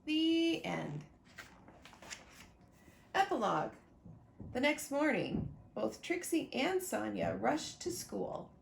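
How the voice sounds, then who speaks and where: A woman reads a picture book to children with very lively, swooping intonation and a slow pace. Her delivery is expressive and full of asides to her young listeners. The room has a noticeable echo. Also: high-pitched, gravelly. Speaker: librarian or teacher reading a picture book aloud. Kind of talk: animated children's storybook read-aloud with asides. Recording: roomy echo, faint background noise.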